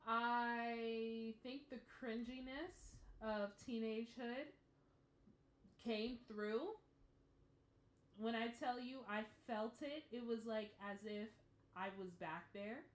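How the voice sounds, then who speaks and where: Expressive woman reviewing in an echoey room. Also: fairly high pitch, slow. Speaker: reaction show host. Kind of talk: casual spoken reaction and review.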